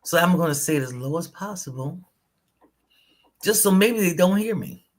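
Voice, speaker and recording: A woman with a slightly husky voice who co-hosts a live chat show. She tells stories with animation and drops into casual asides. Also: fairly low pitch, moderate pace. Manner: lively storytelling, animated and chatty. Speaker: co-host of a spiritual live show.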